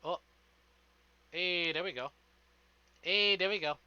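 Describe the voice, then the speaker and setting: A male streamer talks casually to his viewers in a rambling, sweary, informal way. His voice is very bright and forceful, sitting a little high for a man, and the stream audio is slightly dull and band-limited. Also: slow, smooth, dry recording. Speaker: live streamer.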